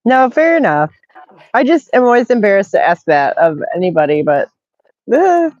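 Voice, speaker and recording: A woman's voice: medium pitch, sing-song, normal speed, a little mellow, lo-fi sound. A middle-aged woman hosting a casual call, chatty and tired from being sick, reading chat messages aloud. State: tired, recovering from illness. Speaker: channel host.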